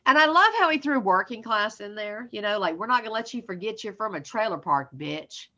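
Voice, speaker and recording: A woman with a Southern American accent talks as a comedian guest, blunt and profane, laughing at herself. Her voice is bright and forward. The recording sounds slightly dull and lo-fi. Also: medium pitch, animated, fairly quick. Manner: blunt, profane, self-mocking, laughs often. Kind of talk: casual podcast interview.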